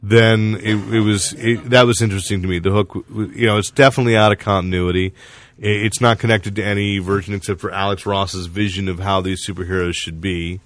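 A man's voice: fairly low pitch, steady tone, a little fast, no room sound. A man hosting a casual comics podcast, explaining things in a rambling way and often correcting himself. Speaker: podcast host reviewing comics. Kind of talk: casual chat about comics.

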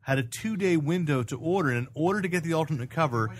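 A man's voice: fairly high pitch, animated, fast. A man hosting a casual chat about comics and movies, bantering, teasing and given to exclamations. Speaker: podcast host.

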